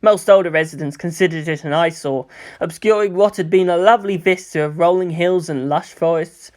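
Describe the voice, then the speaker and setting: A narrator reading a scripted story in an expressive way, with dramatic emphasis. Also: mid-range voice, brisk. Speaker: audiobook narrator. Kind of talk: scripted narration of fan fiction.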